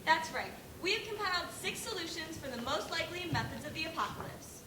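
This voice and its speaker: A young woman acting on a school stage as a brisk, scornful news co-anchor presenting the end of the world comically. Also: extremely high pitch, lively intonation. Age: teenager to young adult. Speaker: student actor in a school play. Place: school stage before an audience. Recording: distant echoey stage recording, noisy.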